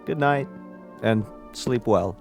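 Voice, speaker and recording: An actor in a radio drama playing a gravely polite family doctor who speaks in a deliberate, professorial way. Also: male voice, fairly low pitch, music in the background. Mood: grave, explanatory.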